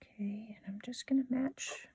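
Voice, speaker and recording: A woman hosting a casual step-by-step craft tutorial in an informal, unhurried way, thinking aloud as she works. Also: on the high side, fairly even intonation, dark tone, slightly rough, no echo.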